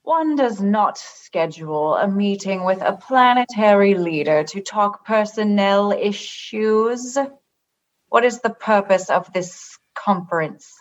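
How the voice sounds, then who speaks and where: Woman playing a slow, measured, stern alien ruler. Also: mid-range voice, lively intonation, highs cut off. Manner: formal, measured, literal, unbending. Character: stern, cryptic alien religious and political leader. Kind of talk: sci-fi comedy radio acting.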